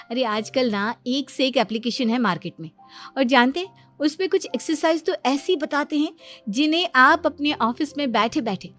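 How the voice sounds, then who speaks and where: A woman's voice: high-pitched, normal speed, fairly bright tone. A woman voicing a young, boastful daughter-in-law in a moral-story cartoon, emphatic and insistent. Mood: confident, helpful. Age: young adult. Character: eager young know-it-all daughter-in-law. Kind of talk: animated Hindi moral-story cartoon acting. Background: background music.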